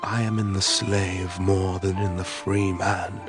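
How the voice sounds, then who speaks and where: An actor playing a charismatic wine god and cult leader in an audio drama, with a measured, incantatory, poetic delivery. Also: male voice, low-pitched, level tone. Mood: fervent, exalted. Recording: music behind the voice.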